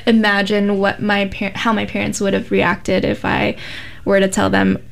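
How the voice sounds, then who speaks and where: A woman's voice: medium pitch, monotone, normal speed, creaky. A woman interviewing a guest, curious and encouraging as she asks her questions. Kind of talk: casual interview conversation.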